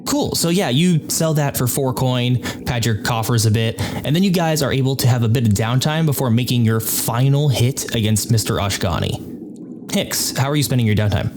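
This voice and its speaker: A game master running a tabletop role-playing session, lively and playful, laughing easily with the players. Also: mid-range voice, moderate pace, background noise.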